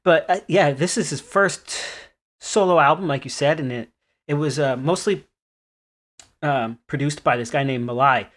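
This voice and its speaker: A man with a slightly high voice co-hosts a casual music podcast. He talks in a loose, relaxed way, often trailing off and restarting his sentences. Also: normal speed. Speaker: podcast co-host.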